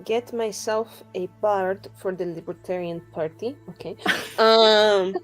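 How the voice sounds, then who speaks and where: A woman's voice: medium pitch, steady tone, slow pace, low-quality recording. A woman playing a tabletop roleplaying game, improvising casually in a playful, rambling, joking way. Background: music behind the voice.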